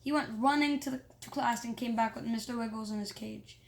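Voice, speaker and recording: A high-pitched reader reading a children's story aloud in an animated but halting way, with small stumbles. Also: creaky.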